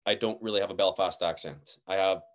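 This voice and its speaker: A young man with a Northern Irish accent, a guest actor telling stories in a casual podcast chat, animated and laughing often. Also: low-pitched, a little fast, muffled recording. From Northern Ireland.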